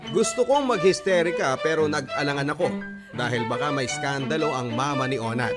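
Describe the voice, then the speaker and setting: A man's voice: fairly high pitch, brisk, loud music behind the voice, natural voice. A man playing a wounded letter sender, narrating a personal story in dramatic Filipino radio style. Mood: pained recounting.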